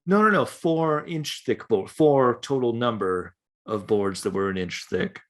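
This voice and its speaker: A man's voice: medium pitch, a little slow. A man co-hosting a podcast in casual comic banter, interjecting with skeptical riffs. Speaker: podcast co-host.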